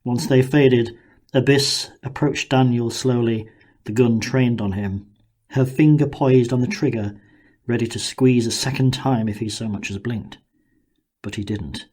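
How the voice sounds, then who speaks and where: A man narrating dramatic fiction for an audiobook in a measured, deliberate way. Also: medium pitch, steady tone, a little mellow, slightly rough.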